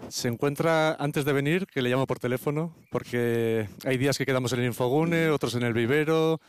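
A male educator from a botanical garden, speaking plainly and practically with the odd restart. His voice is smooth and resonant, recorded close and dry. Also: mid-range voice, a little fast, no echo. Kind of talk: guest in conversation.

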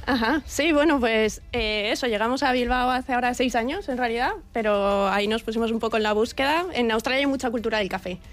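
A woman who owns a café, a guest on a radio show, speaking in a relaxed, matter-of-fact way. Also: a little high, a little fast, few pauses. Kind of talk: radio interview.

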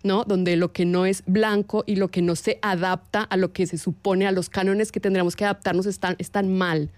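A woman with a Colombian accent, speaking as a guest in a radio interview and talking thoughtfully, with pauses and fillers. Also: mid-range voice, little pitch movement, darkish tone, no echo. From Latin America.

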